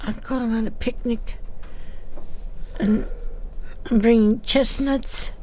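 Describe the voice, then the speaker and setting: An actress playing a flustered older seamstress in an audio drama, with a halting, repetitive, stammering way of talking. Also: female voice, on the high side, fairly even intonation, low-quality recording. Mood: gentle, coaxing.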